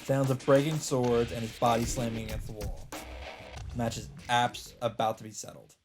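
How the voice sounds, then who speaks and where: A man's voice: mid-range voice, fairly even intonation, normal speed. A man reading visual novel narration aloud as a let's player, in a scripted storytelling style. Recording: music behind the voice. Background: game music.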